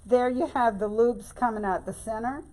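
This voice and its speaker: Hoarse older Louisiana woman. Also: a little high, normal speed, dark tone. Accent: Louisiana Southern US. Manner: chatty and folksy, keeps saying y'all. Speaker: craft tutorial host. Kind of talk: casual bow-making demonstration.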